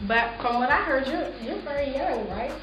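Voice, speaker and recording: Dc-lady hosting, teasing and playful, kinda high voice, echoey noisy studio. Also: expressive, moderate pace, over loud music. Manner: playful, teasing, frequent shout-outs. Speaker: interview host. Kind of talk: casual hip-hop interview hosting. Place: small recording studio.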